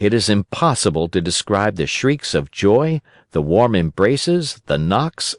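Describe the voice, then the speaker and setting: A man narrating a children's storybook in a gentle, expressive storytelling manner. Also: mid-range voice, no echo, clean studio sound. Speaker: audiobook narrator.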